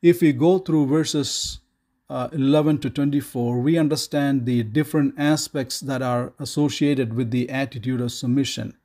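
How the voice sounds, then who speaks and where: A middle-aged man teaching a Bible study, measured and deliberate, reading verses aloud with frequent "uh" fillers, recorded indoors close to the camera. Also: medium pitch, steady tone, mellow.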